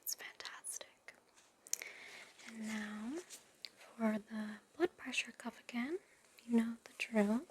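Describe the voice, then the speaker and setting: Woman as a softly whispering, soothing nurse. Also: fairly high pitch, slight background noise. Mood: gentle, soothing. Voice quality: breathy whisper. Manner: slow, soothing, reassuring. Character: gentle, soothing, attentive female recovery nurse. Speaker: ASMR performer. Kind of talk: whispered ASMR medical roleplay.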